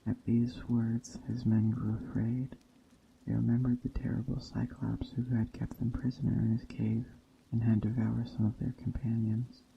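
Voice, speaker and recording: A man reading a story aloud in a soft ASMR style, slowly and deliberately, in a hushed bedtime storytelling manner. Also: mid-range voice, little pitch movement, creaky, noisy background. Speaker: storyteller, narrator. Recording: dull muffled sound, faint background ambience. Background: soft nature ambience.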